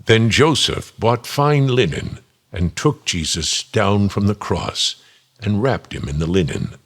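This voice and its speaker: A man's voice: fairly low pitch, sing-song, measured pace, breathy edge, heavily acted. A man giving a solemn dramatic narration of a scripture story.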